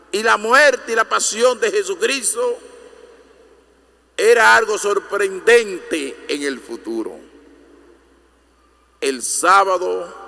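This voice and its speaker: A man's voice: sits high, vocal fry, bright, forceful tone. A man preaching a sermon in an emphatic, oratorical manner, using rhetorical questions and repetition. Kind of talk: Good Friday sermon. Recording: long echoing reverb of a large hall.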